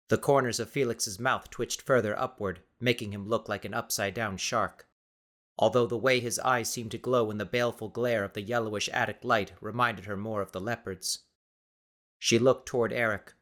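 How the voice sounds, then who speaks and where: Guy with a lowish voice reading a suspense audiobook, scripted dramatic storytelling. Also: fairly bright tone. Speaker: audiobook narrator.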